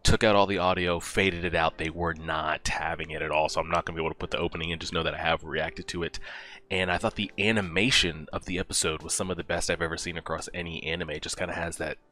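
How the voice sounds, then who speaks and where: A man's voice: sits low, fairly even intonation, quick pace, pushed and bright. A young man giving casual reaction commentary, musing aloud informally into a headset mic in a room at home. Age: young adult. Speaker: reaction video host. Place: small indoor room at home. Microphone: headset boom mic.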